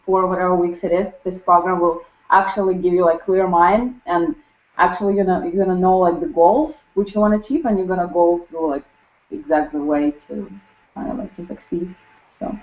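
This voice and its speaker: A woman's voice: lowish voice, fairly even intonation. The twenty-something Ukrainian woman taking her turn to introduce herself at a staff workshop, feeling for her words in a dull, roomy recording. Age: 20s. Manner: halting, non-native English phrasing. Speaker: course participant introducing herself. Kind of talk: casual personal sharing in a group. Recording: muffled lo-fi, roomy echo.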